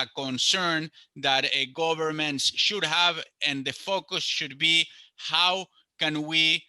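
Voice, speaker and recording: Argentine guy, economist, super bright sharp voice, dry close sound, says uh a lot. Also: on the high side, smooth, no echo. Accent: Argentine Spanish. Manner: many fillers and repeated words, earnest. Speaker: economist guest. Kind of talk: unscripted interview answer.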